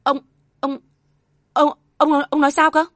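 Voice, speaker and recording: A woman's voice: flat delivery, measured pace, fairly bright tone, no room sound, studio quality. Woman putting on a shrieking furious mother-in-law. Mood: stammering. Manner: shrill, scolding, wailing.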